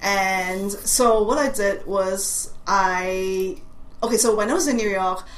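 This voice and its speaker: A woman's voice: medium pitch, slow pace. Woman, 40s, Cantonese accent with British and American traces, hesitant, echoey room recording. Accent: Cantonese with British and American English traces. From Hong Kong. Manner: hesitant, many restarts and fillers. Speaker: artist guest. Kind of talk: conversational interview. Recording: echoey room, faint noise.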